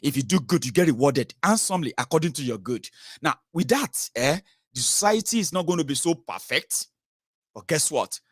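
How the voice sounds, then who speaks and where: A man's voice: on the high side, animated, normal speed, husky, dry recording, clean studio sound. A man with a Nigerian accent hosting a political phone-in, talking conversationally and emphatically, with rhetorical questions and tags. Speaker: host of a political phone-in show. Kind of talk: conversational political commentary with callers.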